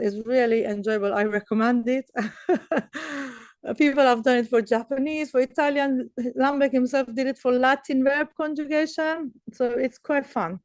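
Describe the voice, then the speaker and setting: A woman's voice: fairly high pitch, a little mellow, no room sound. A woman whose mother tongue is Persian explains theory as an academic speaker, enthusiastic and checking that listeners follow. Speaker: academic speaker giving a research talk. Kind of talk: explanatory lecture with question and answer.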